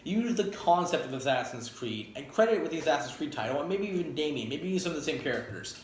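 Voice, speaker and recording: A man's voice: on the high side, animated, fast talker. A man giving a casual, opinionated spoken review, rambling and emphatic, often correcting himself as he goes. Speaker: video game movie reviewer. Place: echoey spot up by a street. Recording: echoey, noisy, near street traffic. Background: traffic and engine noise.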